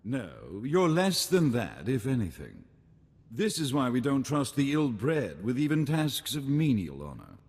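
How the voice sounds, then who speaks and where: An actor playing a pompous, easily outraged aristocrat in a video game, speaking with haughty, formal condescension. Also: male voice, medium pitch, wildly swooping pitch, a little slow, a little mellow, breathy edge, exaggerated voice. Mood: haughty disdain. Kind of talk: scripted video game voice acting. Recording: long hall reverb.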